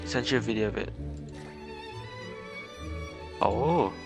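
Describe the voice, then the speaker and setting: A man's voice: fairly low pitch, slow, gravelly, over music. A man streaming a video game, chatting casually, laughing, and reacting on the fly. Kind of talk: casual live-stream commentary.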